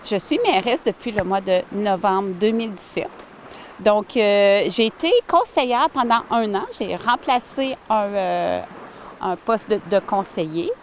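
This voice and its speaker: A woman, answering interview questions warmly and earnestly outdoors by a lake, with many fillers and restarts. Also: medium pitch, unhurried, a little hiss or hum. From Ottawa, Canada. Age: 40s. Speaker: village mayor, interviewee.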